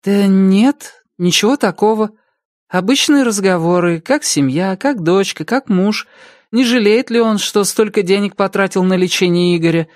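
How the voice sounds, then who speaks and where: A man's voice: high-pitched, moderate pace, no room sound, studio quality, voice pushed up, close to an everyday voice. A man voicing a polite, matter-of-fact woman witness in an audiobook, composed and explanatory. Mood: casual, dismissive. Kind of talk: audiobook character dialogue.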